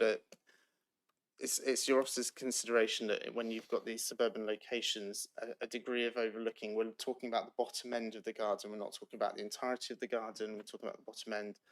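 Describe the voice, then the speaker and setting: A man, a planning officer giving measured, procedural answers at a council meeting with frequent ums. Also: on the low side.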